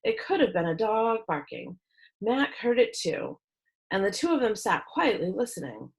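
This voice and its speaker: Expressive woman reading a children's story. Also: medium pitch, normal speed, vocal fry. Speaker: read-aloud storyteller. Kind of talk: expressive children's book narration.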